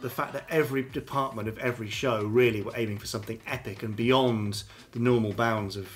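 A man's voice: medium pitch, steady tone, brisk, faint music behind. Chatty, jokey podcast host, man. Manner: chatty, jokey, laughs often. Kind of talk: casual chat.

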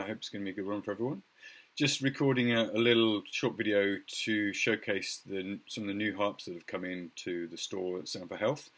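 A middle-aged man with a croaky voice, casually showing instruments from his shop on a webcam video at home, pausing often with ums and uhs. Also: lowish voice. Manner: relaxed, hesitant with many ums and uhs. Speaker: music shop owner showcasing instruments. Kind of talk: casual product demo video. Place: home music room indoors.

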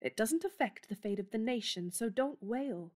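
A woman's voice: fairly high pitch, expressive, normal speed, lightly acted. A woman playing a blunt, spirited young woman with a headstrong temper. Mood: defiant.

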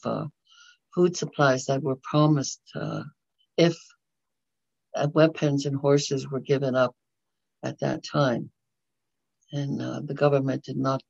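An older woman whose first language is Dakota talks into a webcam from a small bedroom, measured and halting, with frequent pauses between phrases. Her voice has a gravelly grain. The sound is dry and a little dull, typical of a lo-fi video call. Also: very deep voice, a little mellow, dry recording. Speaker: community presenter. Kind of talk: prayer and talk.

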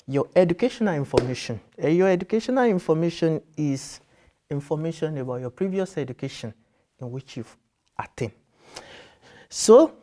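A man's voice: fairly high pitch, warm tone. A man in his thirties whose first language is Yoruba, guiding applicants through a form in a patient, explanatory way and rephrasing as he goes. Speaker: university admissions guide. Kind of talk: screen-share tutorial walkthrough. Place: office with computers, indoors.

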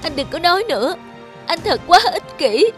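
A woman's voice: very high voice, big pitch swings, normal speed, faint music behind. A woman voicing a plaintive, easily hurt young wife in a cartoon drama. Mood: hurt, angry. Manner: plaintive, reproachful.

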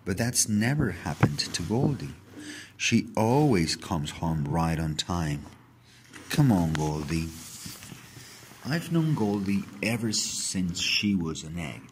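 A man narrating a children's story aloud in an expressive, dramatic way. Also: low voice, slight background noise.

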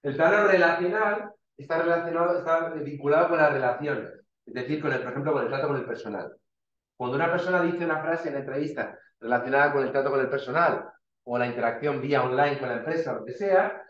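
Man, university lecture, informal explaining, muffled lo-fi sound. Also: a little high. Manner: informal, explains with everyday examples, frequent restarts and "¿vale?". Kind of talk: recorded slide lecture. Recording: dull, roomy lecture recording.

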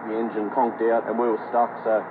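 A man's voice: lowish voice, flat delivery, quick pace. An Australian man recounting an experience in a radio interview, heard over shortwave. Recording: tinny noisy shortwave radio reception.

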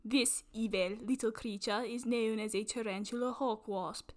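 A woman putting on a prim British nature documentary narrator voice, hosting a parody nature vlog with pompous, mock-solemn deadpan. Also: a little high, moderate pace, lightly acted. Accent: put-on British. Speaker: host.

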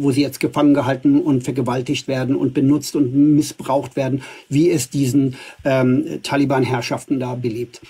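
A man's voice: medium pitch, mellow, breathy edge. A middle-aged man from Iran arguing assertively in a live debate, with frequent fillers, filmed by a phone camera up close. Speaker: livestream host. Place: indoors before a green screen.

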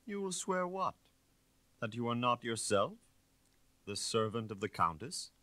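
A man voicing a middle-aged, shabby ex-detective in a story, condescending and smoothly persuasive with quiet menace. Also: medium pitch, big pitch swings, measured pace, dry recording, pitched above the performer's own voice. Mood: smooth insinuation.

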